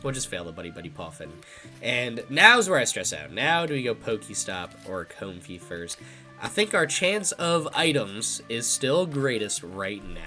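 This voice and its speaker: A man's voice: on the low side, big pitch swings, moderate pace, sharp tone, soft background music. Congested man commentating a card game. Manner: thinking aloud, chatty and casual. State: congested, a little nasal. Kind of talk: live gameplay commentary.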